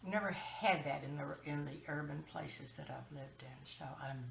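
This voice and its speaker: Woman from Ohio, low gravelly voice, slow, musing self-intro, roomy call audio with faint noise. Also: fairly bright tone. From Ohio, United States. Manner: musing, searching for words. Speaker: meeting participant.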